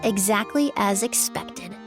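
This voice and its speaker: A woman's voice: medium pitch, unhurried, over music, close to an everyday voice. A woman playing a composed, clever perfumer in a video game, explaining things in a precise way. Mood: assured, explaining.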